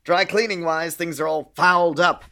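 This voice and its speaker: Fussy, officious American bureaucrat. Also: male voice, fairly high pitch, steady tone, moderate pace, gravelly, sharp tone. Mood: fussy, affable. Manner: officious, chatty, digressive. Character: fussy, dry middle-aged male American intelligence bureaucrat. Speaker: actor in audio drama. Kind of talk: radio play acting.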